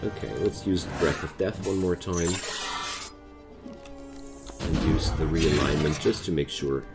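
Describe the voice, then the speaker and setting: A man's voice: extremely low pitch, unhurried. A man with a German accent giving casual gameplay commentary, thinking aloud as he plays. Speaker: gaming video creator. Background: music.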